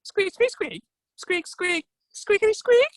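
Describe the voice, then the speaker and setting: Really high squeaky squirrel, cheeky lil troublemaker, storytime cartoonish voice. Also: male voice, sing-song, fairly bright tone, dry recording, heavily acted. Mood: mischievous. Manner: squeaks and cheeky quick retorts. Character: mischievous squirrel speaking in squeaks, stubborn and cheeky.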